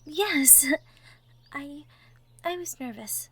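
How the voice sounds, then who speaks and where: Woman playing a young cottage girl in love, very high voice, slow delivery, shy, stammering, gentle, romantic audio drama. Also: expressive, heavily acted. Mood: shy, tender. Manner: stammering, gentle, breathy asides. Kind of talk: girlfriend roleplay audio drama.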